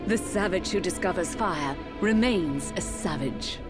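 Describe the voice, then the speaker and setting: A woman's voice: lowish voice, lively intonation, measured pace, music in the background. An actress playing a haughty, scheming noblewoman mother in a fantasy game drama, with cold, disdainful, formal delivery.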